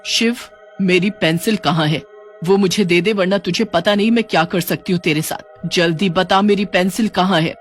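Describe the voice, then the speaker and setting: Man voicing a menacing high-voiced female witch. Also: moderate pace, soft background music, lightly acted. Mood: threatening, angry. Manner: threatening, demanding. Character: menacing, short-tempered female witch hunting her magic pencil. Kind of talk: dramatic Hindi horror storybook acting.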